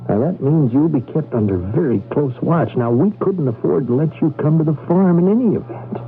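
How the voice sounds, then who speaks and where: An actor in a 1980s radio drama playing a cautious underground conspirator, giving insistent warnings with dramatic pauses. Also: male voice, fairly high pitch, animated, phone-quality sound. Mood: insistent, placating. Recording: band-limited old radio broadcast, music underneath.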